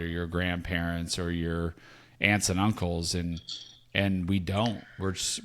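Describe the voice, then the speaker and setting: A man's voice: low-pitched, a bit bright. A man in his fifties co-hosting a podcast, talking in a reflective, rambling way with many restarts. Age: 50s. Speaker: podcast co-host, parent. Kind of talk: casual chat.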